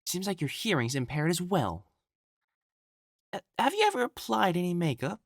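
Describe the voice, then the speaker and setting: A man's voice: high-pitched, sing-song, moderate pace, creaky, no echo, clean studio sound, exaggerated voice. A man voicing an elegant, effeminate male matchmaker in a scripted audio roleplay, prim and formal, haughty yet easily flustered. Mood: flustered, sharp.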